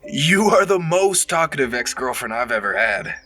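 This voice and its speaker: A man's voice: medium pitch, big pitch swings, moderate pace, pushed and bright, soft background music. A man playing an affectionate, easily spooked boyfriend with a teasing, casual way of talking in an audio drama. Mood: playful, affectionate.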